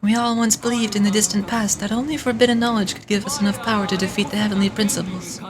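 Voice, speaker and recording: A woman voicing an ancient, scornful dragon from a game, solemn and grandiose in a measured delivery. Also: mid-range voice, fairly even intonation, background noise, voice pushed down, natural voice. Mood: grave storytelling. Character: ancient, proud, scornful dragon nursing old hatred. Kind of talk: game dialogue read aloud in character.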